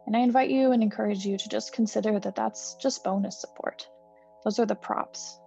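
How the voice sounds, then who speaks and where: A woman meditation teacher guiding a healing breath meditation in a soft, slow, soothing way with gentle pauses. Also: mid-range voice, fairly even intonation, gravelly. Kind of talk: guided meditation. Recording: slightly dull recording with soft music.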